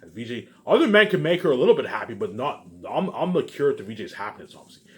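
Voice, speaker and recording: A man giving a rambling, unscripted, repetitive monologue to viewers, emphatic, with loud bursts that drop into muttered asides. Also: mid-range voice, sing-song, fast talker. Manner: repetitive, circling back to same phrases. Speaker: online video maker.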